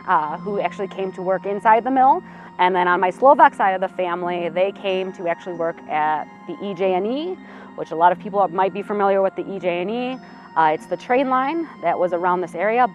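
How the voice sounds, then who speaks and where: Earnest low-voiced woman, muffled, faint music. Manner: earnest, frequent "uh" and "um" fillers. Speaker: museum program coordinator. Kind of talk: interview answers, reflective. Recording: slightly muffled audio with faint music behind.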